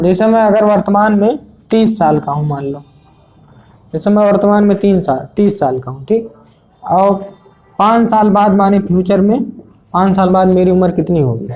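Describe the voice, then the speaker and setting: Male exam coach, warm low-key tone, repetitive board-style explaining. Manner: repetitive, checks understanding often. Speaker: math teacher. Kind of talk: exam prep lesson at a board.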